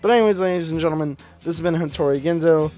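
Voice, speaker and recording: Rambling, higher-pitched male gamer over music. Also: very fast, warm tone. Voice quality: slight speech impediment. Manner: rambling, sweary, enthusiastic. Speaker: gaming video host. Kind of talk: casual gameplay commentary. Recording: music playing behind the voice.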